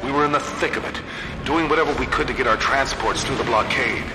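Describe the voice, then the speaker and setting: Male actor as battle-weary squadron veteran, grave game narration, measured storytelling, echoing reverb, loud score behind. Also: medium pitch, music in the background, lightly acted. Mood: grim, reflective. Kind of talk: scripted dramatic narration in a war game.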